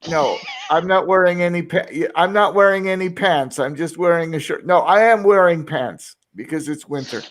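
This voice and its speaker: Man, 60s, teacher, slightly raised pitch, chatty and self-deprecating. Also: normal speed, few pauses, fairly bright tone. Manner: reflective, rambling, self-deprecating. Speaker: English teacher. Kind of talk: casual group chat.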